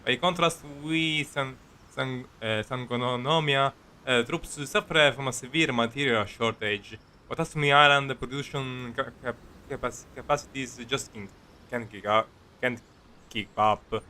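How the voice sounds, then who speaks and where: A man streaming a video game, casually commenting and reading dialogue aloud in a halting, sweary way. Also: medium pitch, bright, forceful tone, slight background noise.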